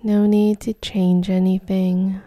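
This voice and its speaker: A woman leading a guided meditation in a soft, soothing, unhurried way, her voice breathy and close to a whisper at times. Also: medium pitch, little pitch movement, no echo. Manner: soft, soothing, unhurried delivery with short phrases.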